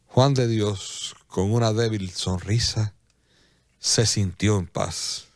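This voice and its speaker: A man narrating a scripted radio drama in a solemn, measured storytelling manner. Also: on the low side, no echo, studio quality. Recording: clear music bed behind.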